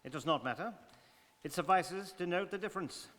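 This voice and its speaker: A man playing an exacting, unflappable English gentleman, speaking precisely and formally in a radio drama. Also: high-pitched, expressive, fairly quick. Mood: cool, brisk. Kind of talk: stage radio drama acting. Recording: reverberant hall.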